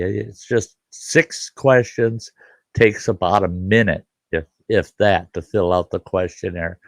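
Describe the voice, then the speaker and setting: An older man hosting a casual live stream, hoarse today, talking in a halting, rambling way with many fillers. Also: lowish voice, dry recording. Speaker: livestream host.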